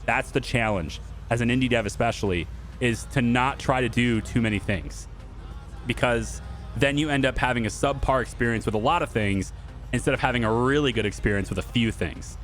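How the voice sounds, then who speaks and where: A man's voice: medium pitch, fairly quick, a bit bright, faint music behind. A man hosting a gaming video, talking casually and off the cuff to viewers in a chatty, rambling way. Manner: chatty, rambling, thinking aloud, addressing viewers and developers directly.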